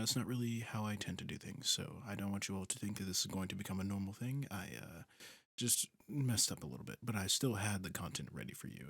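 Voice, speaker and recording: A man who makes ASMR audios, talking casually to his listeners in a quick, rambling, apologetic outro. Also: sits low, vocal fry, fairly bright tone, husky, no room sound. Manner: rapid, apologetic rambling with fillers.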